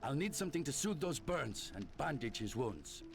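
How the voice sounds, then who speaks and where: A man's voice: medium pitch, big pitch swings, vocal fry. A man playing a proud but remorseful disgraced captain in a video game, speaking theatrically and confessionally. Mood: grateful, urgent. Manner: theatrical, slow, confessional delivery. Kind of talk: dramatic video game voice acting. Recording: music behind the voice.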